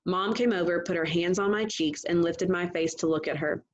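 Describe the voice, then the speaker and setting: A woman reading a storybook aloud as an expressive narrator, on a slightly dull, lo-fi recording. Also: on the low side, normal speed. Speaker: read-aloud reader.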